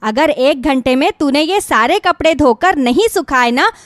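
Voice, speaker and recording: Lady doing a mean mom, shrill and high, harsh scolding, threatening, dry sound. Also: animated, measured pace, dry recording. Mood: angry, menacing. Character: cruel, threatening mother berating her young daughter. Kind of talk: dramatised moral story.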